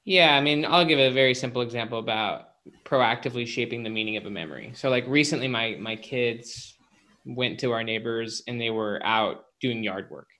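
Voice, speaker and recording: Male interview guest, psychologist type. Bright, punchy tone, casual phrasing, peppered with "like" and "you know". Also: medium pitch, fairly quick. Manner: informal, filler-heavy with frequent "like" and "you know". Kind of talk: conversational interview explanation with anecdotes.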